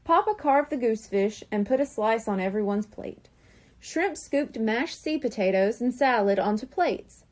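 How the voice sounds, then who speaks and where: A woman reads a children's chapter book aloud. Her voice is high and swings widely, expressive and animated throughout. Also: moderate pace. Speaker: librarian reading aloud. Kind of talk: children's storybook read-aloud.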